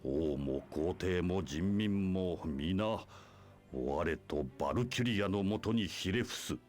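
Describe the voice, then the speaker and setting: A man's voice: low voice, moderate pace, darkish tone, soft background music, lightly acted. A man playing an imperious, scheming church leader in a video game, speaking in pompous, archaic formal phrases. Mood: grandiose, scornful. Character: imperious, scheming male church leader preaching his doctrine. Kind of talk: Japanese video game voice acting.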